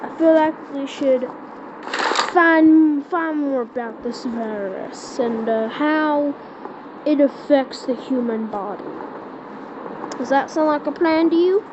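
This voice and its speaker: A woman improvising an amateur skit in a halting way, repeating words as she searches for phrasing, on a lo-fi recording. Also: high voice. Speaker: amateur creator voicing a homemade emergency scenario. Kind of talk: improvised amateur skit and mock emergency announcement. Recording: dull lo-fi audio with loud background noise.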